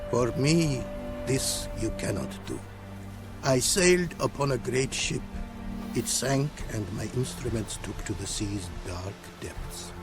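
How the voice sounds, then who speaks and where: An actor playing a courteous, proud scholar-artisan in a video game, speaking in formal, inverted, archaic phrasing. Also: male voice, medium pitch, lively intonation, music in the background. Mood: mournful. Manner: formal, inverted archaic syntax, deliberate emphasis. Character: courteous, proud foreign male rune craftsman, melancholy scholar. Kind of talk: video game cutscene acting.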